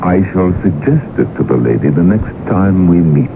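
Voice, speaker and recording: An actor playing a sly gentleman portrait painter, speaking in an urbane, wry, deliberate way in a radio drama. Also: low voice, lively intonation. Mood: sly, charming. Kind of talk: 1980s radio drama acting. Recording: tinny, noisy old radio broadcast recording.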